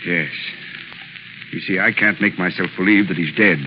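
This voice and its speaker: A man's voice: low-pitched, moderate pace, close to an everyday voice. An actor playing an anxious, discouraged businessman in 1930s radio drama style. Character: anxious, discouraged company president in hiding. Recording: muffled, noisy 1930s radio recording.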